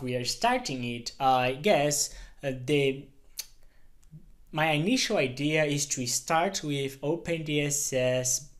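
Brazilian-accented man talking to camera. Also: medium pitch. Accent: Brazilian Portuguese. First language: Portuguese. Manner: earnest, many fillers and restarts, talks with his hands. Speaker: YouTuber. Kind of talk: casual talk to camera. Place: living room at home.

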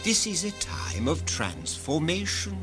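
A man's voice: fairly high pitch, huge pitch swings, a little slow. Man acting a ruthless, theatrical director, sweeping delivery. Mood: grandiose, driven. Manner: commanding, grandiose, theatrical. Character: ruthless, ambitious male corporate director. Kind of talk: science-fiction audio drama acting. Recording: music behind the voice.

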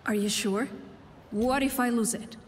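Woman as a guarded, higher-voiced young warrior. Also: fairly even intonation, measured pace, lightly acted. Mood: uneasy. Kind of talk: video game character dialogue. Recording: faint game ambience noise.

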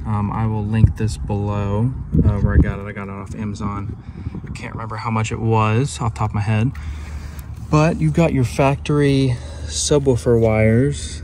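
A man talks through a DIY job in an easygoing, informal way. His voice sits fairly low and often drops into a gravelly creak. It is captured on a handheld phone inside a vehicle, with clearly audible noise around it. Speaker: DIY video presenter. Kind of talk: casual hands-on walkthrough. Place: inside a parked pickup truck. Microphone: handheld phone camera.

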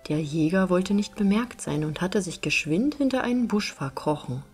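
A woman narrating a fairy tale as an audiobook reader, in an expressive storytelling style. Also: on the low side, moderate pace, faint music behind.

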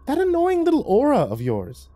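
A wicked demon cat in a dark storybook tale. The voice is pitched high and teases theatrically, with a cruel, mocking edge. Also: male voice, huge pitch swings, moderate pace, heavily acted. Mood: gleeful, taunting. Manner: taunting, theatrical, profane. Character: mocking, sadistic devil in the shape of a cat.

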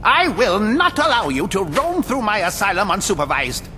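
An actor playing an older, officious asylum director in a video game, pompous and defiant with a sharp edge. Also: male voice, very animated, normal speed. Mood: indignant defiance. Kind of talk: video game cutscene acting. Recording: long reverb, faint rumbling noise.

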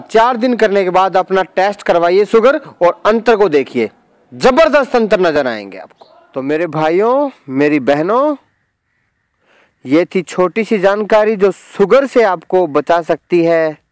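A man with a high-pitched voice presents a practical how-to in voice-over. He is emphatic and instructional, repeating phrases to drive them home. The sound is dry and close, with very little room in it. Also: no echo. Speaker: presenter of home remedy tutorial.

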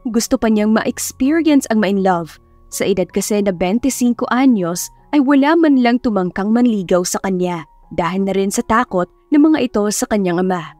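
A woman's voice: medium pitch, lively intonation, normal speed, darkish tone, dry recording, soft background music. A woman reading a scripted romance story as an audiobook narrator.

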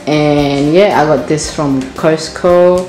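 A woman's voice: low-pitched, steady tone, takes it slowly, darkish tone. A woman giving a casual home tour on a handheld phone, chatty and gushing, repeating words for emphasis. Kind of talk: casual house tour chat. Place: indoors, bright tiled home rooms. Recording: echoey home rooms with music behind. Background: background music.

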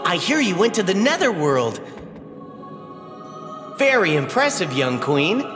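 A man's voice: high-pitched, big pitch swings, unhurried. An actor playing an admiring courtier of a fairy queen in a fantasy game. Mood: impressed. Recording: echoey reverb under game music.

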